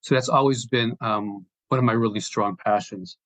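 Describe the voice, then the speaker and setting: A middle-aged man with an American accent, giving a measured, reflective, conversational account as an interview guest. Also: lowish voice, a bit bright, no room sound. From United States, born in Slovenia. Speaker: interview guest, sports sustainability executive.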